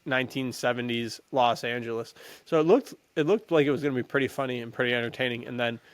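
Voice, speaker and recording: A man chatting casually as a podcast co-host, in slangy, halting talk with frequent chuckles. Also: mid-range voice, fairly even intonation, dry recording. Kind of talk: casual movie chat.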